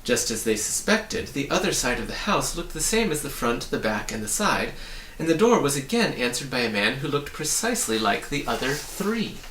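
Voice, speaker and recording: A man reading a children's story aloud as an animated narrator. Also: mid-range voice, normal speed. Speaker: audiobook reader. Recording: roomy, distant mic, faint noise.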